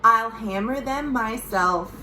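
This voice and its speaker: A woman's voice: fairly high pitch, slow pace, pushed and bright, slight background noise. A woman voicing a determined little girl builder who speaks firmly and insists on doing the work herself. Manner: firm, self-reliant.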